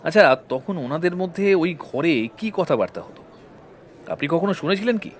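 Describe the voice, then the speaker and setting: An actor playing a courteous, methodical defence lawyer questioning a witness in a courtroom audio drama. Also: male voice, fairly high pitch, lively intonation, brisk, darkish tone, close to an everyday voice. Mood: polite probing. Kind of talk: Bengali audio-story courtroom acting.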